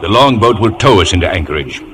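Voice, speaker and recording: A man's voice: low voice, animated, quick pace, a bit bright. A man playing a resolute, authoritative ship's captain in an old radio drama. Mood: grave resolve. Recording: noisy old radio broadcast recording.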